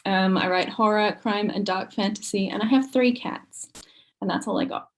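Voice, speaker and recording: A woman's voice: medium pitch, moderate pace, rough recording. Australian woman chatting as a guest. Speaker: podcast guest. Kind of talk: brief casual self-introduction.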